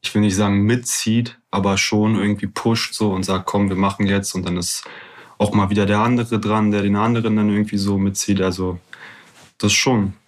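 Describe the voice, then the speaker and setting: Casual man with a lowish voice. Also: flat delivery, moderate pace. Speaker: musician, podcast guest. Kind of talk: casual conversation.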